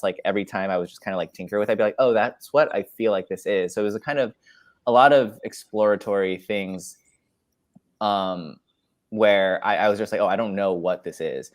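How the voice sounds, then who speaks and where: A man's voice: low voice, no room sound. A man in his twenties with a Californian accent, answering casually and reflectively in a rambling, tentative way on a video call. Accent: Californian American. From San Francisco Bay Area, US. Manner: rambling, tentative, peppered with "like" and "kind of". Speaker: filmmaker, panel guest. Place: dim room at home.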